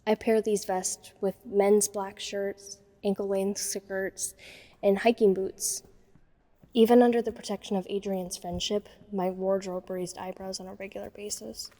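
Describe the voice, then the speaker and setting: A woman's voice: medium pitch, little pitch movement, a little slow, mellow, close to an everyday voice. A woman playing a self-conscious, wryly observant nerdy teenage girl who narrates a first-person audio drama. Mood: reflective. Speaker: narrator and lead character. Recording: long reverb on the voice.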